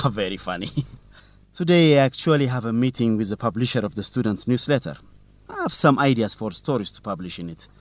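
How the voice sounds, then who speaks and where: A man's voice: medium pitch, expressive, a little fast, dry recording, close to an everyday voice. A young man playing a bold, curious student journalist in an educational radio drama, with an inquisitive, teasing manner. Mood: confident, teasing. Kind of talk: educational radio drama dialogue, simple clear English.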